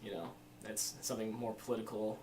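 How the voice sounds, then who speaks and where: A man's voice: lowish voice, brisk. A 21-year-old American man giving informal, reflective interview answers in a rambling, hesitant way with many you-knows. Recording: distant mic, faint room noise.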